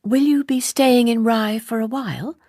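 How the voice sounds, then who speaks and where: A woman's voice: a little high, normal speed, warm tone, no echo, studio quality, pitched above the performer's own voice. A woman reading an audiobook, voicing a composed, guarded young woman who is formal, restrained and politely challenging. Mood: intimate, quiet. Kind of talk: audiobook character dialogue.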